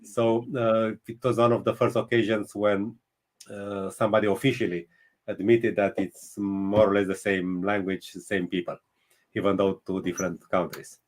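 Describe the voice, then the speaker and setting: Guy on a radio chat, halting english, lots of uhs. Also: on the low side, flat delivery. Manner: hesitant, many fillers and restarts; speaks English as a later language. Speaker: chess guest. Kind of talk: casual interview answers.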